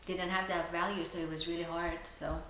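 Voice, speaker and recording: A woman from Iran speaking softly and hesitantly in a documentary interview. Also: lowish voice, level tone, fairly quick, very echoey, slight background noise. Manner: soft, hesitant, many "um"s.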